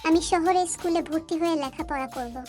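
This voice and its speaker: A woman's voice: very high-pitched, normal speed, darkish tone, faint music behind. A woman voicing a chatty, carefree young schoolgirl in a cartoon story.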